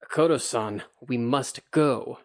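A man doing a hushed, secretive official. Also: medium pitch, natural voice. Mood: urging. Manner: hushed, urgent, measured.

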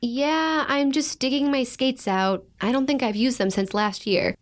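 A woman's voice: high voice, steady tone, unhurried. A woman acting in an audio drama as a stubborn, sarcastic older sister in her twenties, with dry, blunt comebacks. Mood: matter-of-fact.

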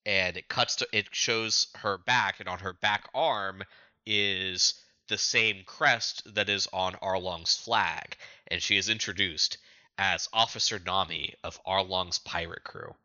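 A man co-hosting a podcast, recapping a story in casual, informal chat with an animated way of telling it. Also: deep voice, takes it slowly, no room sound, dull audio. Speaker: podcast co-host.

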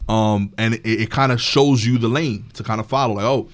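A male stand-up comedian in casual chat, talking in an animated, rambling way full of filler "likes". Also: on the low side, brisk.

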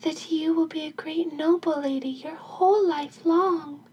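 Woman playing high, slow, ceremonial fairies. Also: fairly even intonation, mellow, muffled recording. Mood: solemn, granting. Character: gracious female fairies bestowing solemn magical wishes. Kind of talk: folktale character voice in storytelling.

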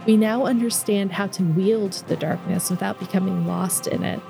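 A woman voicing a calm, authoritative female leader who speaks gently and coolly in a story read aloud. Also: mid-range voice, moderate pace, vocal fry, music in the background, lightly acted.

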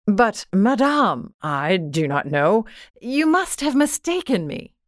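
A woman's voice: mid-range voice, big pitch swings, slow pace, dry recording, voice pushed up. Storybook role: well-bred society lady, polite and reserved with emphatic phrasing female reader performing, dry sound. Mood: puzzled. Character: genteel, well-off lady, reserved yet sympathetic.

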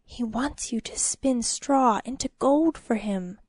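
A woman's voice: fairly high pitch, slow, darkish tone, husky, no room sound. Storybook knight, voiced by a woman: young, sincere, nervous, urgently entreating, dry close sound. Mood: hushed, anxious. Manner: pleading, urgent. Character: earnest, anxious young knight, pleading and stammering.

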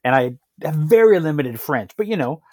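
An interviewer from Canada chats easily and laughs through their own anecdotes. Their voice sits at a middle pitch, recorded close and dry with no room sound. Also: expressive, normal speed, a little mellow, dry recording. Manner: chatty, laughs at own stories. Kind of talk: conversational interview.

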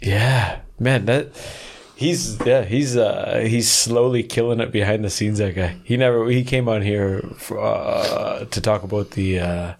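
A man with a fairly low voice hosts a casual podcast. He asks questions and reacts in loose, slangy, laid-back language. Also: a little slow, vocal fry, darkish tone. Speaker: podcast host. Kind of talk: casual chat.

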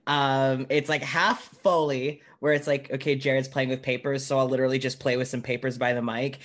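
A man's voice: mid-range voice, flat delivery, a little fast, fairly bright tone. A man hosting a podcast interview, chatting informally and with enthusiasm. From United States. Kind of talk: casual chat.

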